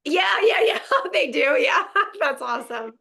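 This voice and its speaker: A woman with a crisp, bright voice pitched a little high chats in a relaxed interview from home. She grew up in Montreal speaking French first. Her talk is upbeat and full of easy laughs over a video call. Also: a little slow. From Montreal, Canada. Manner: chatty, upbeat, laughs often. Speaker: actress guest. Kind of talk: casual interview chat. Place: home in Los Angeles.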